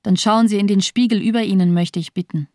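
A woman's voice: medium pitch, fairly even intonation, fast talker, dark tone, no echo, clean studio sound, lightly acted. An actress playing an embittered, disillusioned woman survivor in a radio drama, speaking coldly with bitter sarcasm. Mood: bitterly sardonic. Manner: cold, lifeless, sardonic delivery.

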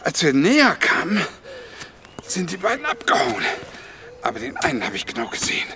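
Excitable older man, swooping pitch. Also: brisk, over a noisy crowd. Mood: agitated, self-important. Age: older, retired. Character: widowed male pensioner, excitable, fussy, awkwardly smitten. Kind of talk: German radio crime drama acting.

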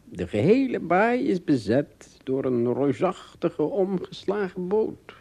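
Slow, creaky, friendly little king. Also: sits high, big pitch swings, mellow, exaggerated voice. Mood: friendly, regal. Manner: deliberate, courteous, regal. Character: friendly, tiny foreign king of Blefuscu. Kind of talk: radio play acting.

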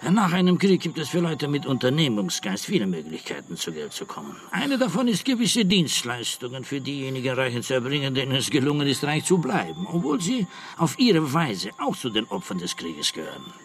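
A man's voice: medium pitch, wildly swooping pitch, brisk, a little hiss or hum. An actor playing a small, scheming Romanian aristocrat in his thirties, polite and theatrical, in a radio drama. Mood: probing, persuasive. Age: 30s.

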